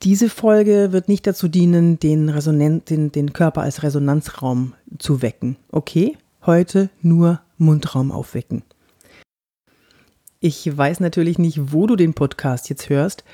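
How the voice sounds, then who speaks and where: A woman from Franconia with a light Bavarian lilt, an actress and body-language coach giving a chatty podcast lesson on clear speech and slowly guiding mouth exercises. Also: deep voice, mellow. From Franconia, Germany. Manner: guides exercises slowly and deliberately; chatty and personal.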